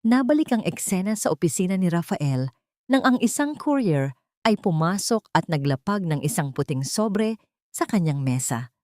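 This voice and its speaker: A woman narrating a dramatic love story in a measured, storytelling manner. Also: fairly low pitch, sing-song, warm tone, no echo, clean studio sound.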